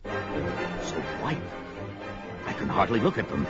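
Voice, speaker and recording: Casting: proud young warrior barbarian, deep heroic voice, music behind, fantasy radio play. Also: male voice, very animated, slow, heavily acted. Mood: watchful. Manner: heroic, blunt. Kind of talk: audio sword-and-sorcery drama acting. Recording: dull lo-fi audio with music.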